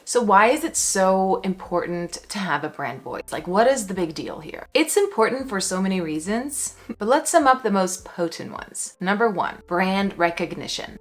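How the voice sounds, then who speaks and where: A young woman hosting her own vlog, a copywriter talking to camera in an upbeat, playful way with chatty asides. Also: medium pitch. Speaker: copywriter and brand strategist, channel host.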